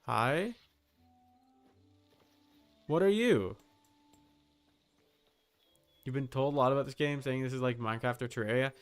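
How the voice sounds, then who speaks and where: A man's voice: mid-range voice, lively intonation, measured pace, long pauses, clean tone, soft background music. A man giving casual livestream commentary on a game, thinking aloud in slangy language with some swearing. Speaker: livestream host.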